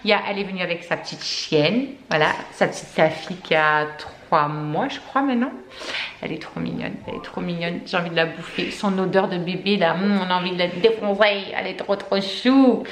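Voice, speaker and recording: A woman's voice: lowish voice, brisk. A woman, a mother filming a casual family vlog at home, rambling with fillers and laughing often. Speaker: mother vlogger. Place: family home indoors, evening. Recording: echoey room with background noise.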